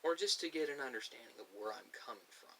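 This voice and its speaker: A man speaking casually and unscripted to viewers, giving his personal opinions in emphatic, halting, short phrases. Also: medium pitch, animated. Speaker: video creator giving personal thoughts. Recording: distant mic with faint hiss.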